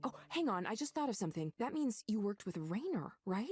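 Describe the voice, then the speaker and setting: An actress playing a warm, perceptive, earnest woman who manages a counseling office in a visual novel game. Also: mid-range voice, big pitch swings, fairly quick, breathy edge. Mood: surprised, earnest. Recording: background music under the voice.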